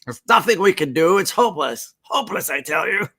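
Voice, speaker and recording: A man's voice: high-pitched, animated, brisk, pushed and bright, pitched above the performer's own voice, full character voice. A man reading aloud, voicing a devoted husband who teases in a mock-formal way. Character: devoted husband, joking and tender.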